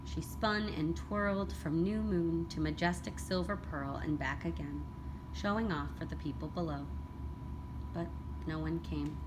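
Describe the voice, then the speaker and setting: A woman reading a children's picture book aloud as a teacher would, in an expressive, deliberate storytelling manner. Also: deep voice. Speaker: elementary school read-aloud teacher. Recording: clearly noisy background.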